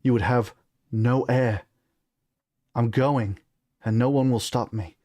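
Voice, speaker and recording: A man's voice: medium pitch, steady tone, slow, a little mellow, no room sound, clean studio sound. Man reading as a defiant young prince. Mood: resolute, defiant. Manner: firm, earnest. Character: defiant, honorable young prince, resolute son.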